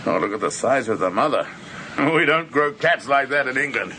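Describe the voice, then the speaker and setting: An actor playing a boorish, excitable film producer in a radio drama, brash and blustering, tending to stammer. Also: male voice, mid-range voice, moderate pace, a little hiss or hum. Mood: playful, cocky.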